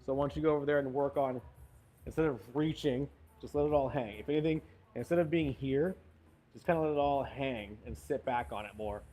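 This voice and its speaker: A man's voice: mid-range voice, a little fast, warm tone, faint music behind. A man coaching a golf lesson, giving short cues and encouragement as the student swings. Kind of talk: hands-on golf lesson instruction.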